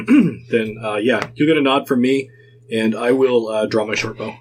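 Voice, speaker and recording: A man's voice: medium pitch, measured pace, a little hiss or hum. A man chatting casually as a player in a tabletop role-playing game.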